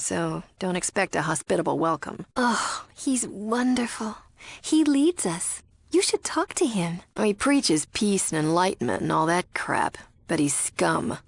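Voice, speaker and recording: A husky female cult follower in a video game, recorded close and dry. She is guarded and secretly cynical. Her pitch sits in an ordinary middle range for a woman. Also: no room sound. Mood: airy, evasive. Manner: alternately airy devout reverence and blunt disdain. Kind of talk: video game character acting.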